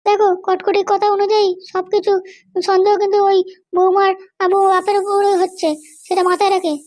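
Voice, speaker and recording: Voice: extremely high pitch, monotone, fairly quick, a little mellow. A performer voicing a bossy, suspicious, scheming Bengali wife and mother in a comedy cartoon, with a childlike voice and a nagging, commanding manner. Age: middle-aged. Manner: nagging, commanding, keeps demanding to be understood. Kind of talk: animated comedy cartoon dialogue.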